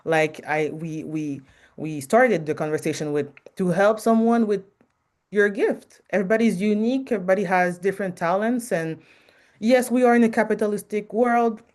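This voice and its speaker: A woman from Canada with a French Canadian accent, a podcast guest and marketing advisor who speaks frankly in a loud voice, pausing often with ums. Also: mid-range voice. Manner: frank, hesitant with many ums, laughs often. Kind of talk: interview conversation.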